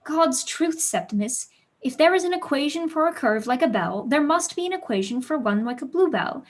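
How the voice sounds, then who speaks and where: Woman as precocious teen girl, high voice, passionate, witty, argumentative, eloquent, period stage play. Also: lively intonation, normal speed. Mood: excited, defiant.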